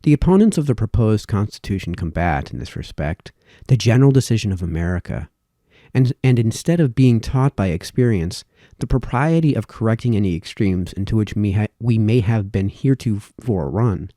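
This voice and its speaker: A man reading formal historical essays aloud, with measured, emphatic phrasing that falls away at sentence ends. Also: on the low side, animated, mellow, no room sound, studio quality.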